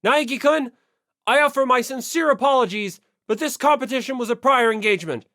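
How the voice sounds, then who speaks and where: An audiobook reader voicing a stern, zealous high school hall monitor boy with pompous, formal, emphatic speech. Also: fairly quick, fairly bright tone, studio quality. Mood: solemn, formal. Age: teenager. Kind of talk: audiobook character voice.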